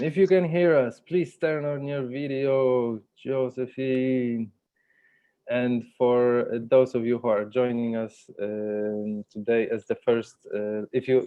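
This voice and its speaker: Low-voiced man hosting an online workshop. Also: dark tone, lo-fi sound. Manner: halting, encouraging. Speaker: workshop host. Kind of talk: informal online workshop facilitation. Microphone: video call microphone. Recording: video call with background music.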